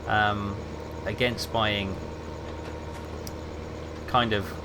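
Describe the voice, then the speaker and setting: A man's voice: fairly low pitch, extremely slow. Chatty male game streamer over background hum. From England. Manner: relaxed, chatty, answers chat while playing. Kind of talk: casual gameplay commentary, chatting with viewers.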